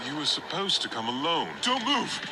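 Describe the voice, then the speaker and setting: A man's voice: fairly high pitch, big pitch swings, normal speed. An actor playing a grave, controlled man of authority in a video game. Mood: stern. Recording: music over game clip.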